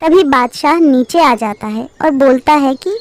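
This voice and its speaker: A woman's voice: high-pitched, a little slow. A woman giving scripted storybook narration as the narrator of a fable.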